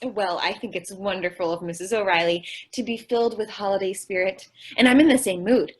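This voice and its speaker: Naive, optimistic young ditz, medium-pitched, old-time radio comedy, played by a woman. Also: fairly even intonation, a little fast, slightly rough, close to an everyday voice. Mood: earnest.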